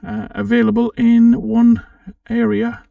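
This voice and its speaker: A man hosting a casual, informal software walkthrough for an amateur radio channel, thinking aloud a little hesitantly as he goes. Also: extremely high pitch, completely flat delivery, measured pace, gravelly, narrow, tinny audio. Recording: roomy, distant microphone.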